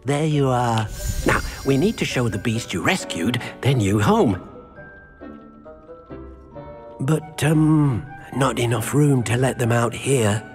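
An actor playing a gentle, earnest, small elderly house-elf servant in a video game, who speaks of himself in the third person. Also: male voice, medium pitch, expressive, measured pace, soft background music, lightly acted. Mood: thoughtful. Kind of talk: scripted video game character acting.

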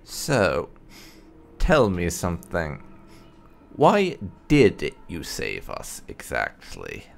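A man giving casual roleplay game commentary in a musing, wry, drawn-out manner. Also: low voice, huge pitch swings, creaky. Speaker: gamer doing a roleplay playthrough.

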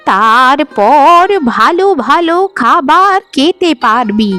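A woman voicing a sly old great-aunt matchmaker in a cartoon, cajoling in a drawn-out sing-song. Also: sits high, a bit bright, exaggerated voice. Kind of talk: melodramatic Bengali cartoon dialogue.

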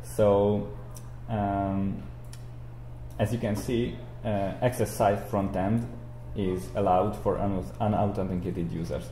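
Young male software instructor, low and slightly mellow, halting explanatory delivery, roomy sound with light noise. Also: fairly even intonation. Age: young adult. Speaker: tutorial instructor. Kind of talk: explanatory software screencast lecture. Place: indoor room, speaking beside a screen recording. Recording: roomy echo, audible background noise.

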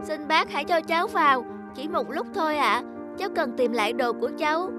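A woman voicing an earnest, sensitive young boy in an animated story, polite and pleading in manner. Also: sits high, brisk. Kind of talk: Vietnamese animated moral story dubbing. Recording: music behind the voice.

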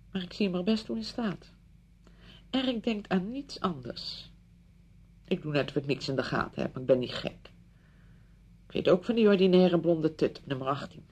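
Bitter suspicious wife, radio drama, creaky and husky, agitated. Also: female voice, rumbling low, darkish tone, full character voice. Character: bitter, suspicious betrayed wife defending herself.

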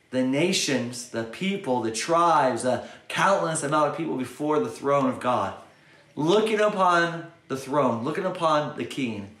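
A man in his thirties giving a short sermon straight to camera, earnest and animated, dropping to a soft hush when he prays. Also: on the high side. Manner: earnest, animated, swings between loud urging and soft prayer. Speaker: pastor. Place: bright home room with windows, indoors. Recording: echoey room, faint music behind.

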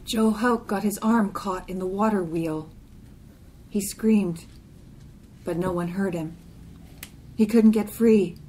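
A woman's voice: mid-range voice, takes it slowly, long pauses, mellow, a little hiss or hum, low-quality recording. A woman reading a literary poem aloud as part of a group reading. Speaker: poetry reader.

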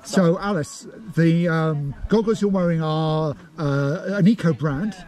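A man's voice: fairly high pitch, measured pace, darkish tone, soft background music. A man chatting casually about gear he reviews, filling his talk with ums and uhs. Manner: rambling, many ums and uhs. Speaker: gear reviewer and channel host. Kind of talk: casual product review chat.

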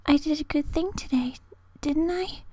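A woman playing an eerie, aloof young vampire girl in an amateur anime dub audition, breathy, languid and hushed. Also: high-pitched, normal speed, no room sound, natural voice. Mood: fragile, pleading. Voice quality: breathy, whispery.

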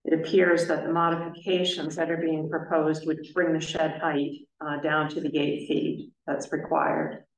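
A middle-aged woman chairing a town planning board meeting, speaking in a measured, careful way with many filler pauses. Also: deep voice, little pitch movement, distant mic. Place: town office meeting room. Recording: echoey room, voice picked up from afar.

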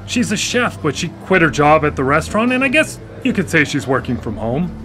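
Male actor as awkward newly blind guy; stammering, wry, lively pitch. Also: a little fast, faint music behind. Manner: hesitant and stammering, wry humor. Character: newly blind man, awkward, self-deprecating. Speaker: lead character in a radio drama. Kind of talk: live radio drama acting. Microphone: studio microphone.

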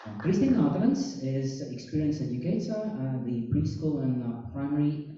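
A man moderating a school panel discussion, halting with many fillers. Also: a little high, lively intonation, background noise. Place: large conference hall. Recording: roomy hall, distant mic, dull sound.

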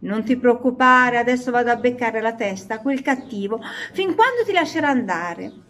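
A woman's voice: a little high, animated, normal speed, fairly bright tone. A woman voicing a bold, scatterbrained little girl duckling in a storybook, exclaiming in a childlike, pleading way. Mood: concerned.

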